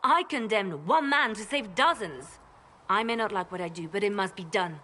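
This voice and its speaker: A cold, ruthless spymaster. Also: female voice, mid-range voice, expressive, moderate pace, sharp tone. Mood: stern, defensive. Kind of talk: dramatic fantasy video game dialogue.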